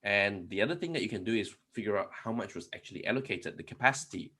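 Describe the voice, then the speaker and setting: A man with a low voice explains programming concepts to a conference audience. His tone is slightly husky, and his explanations loop back on themselves with fillers and restarts. Keyboard typing is heard now and then behind him. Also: expressive, quick pace, sharp tone. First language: not Polish. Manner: explanatory, many restarts and fillers, asks rhetorical questions. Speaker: conference speaker. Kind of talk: live-coding tech talk.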